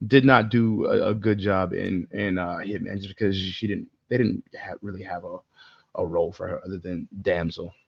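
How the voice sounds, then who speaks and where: Male podcast co-host: creaky voice, slangy, profane, opinionated, slightly dull but dry recording. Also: sits low, fairly even intonation, normal speed, no echo. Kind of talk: casual film discussion.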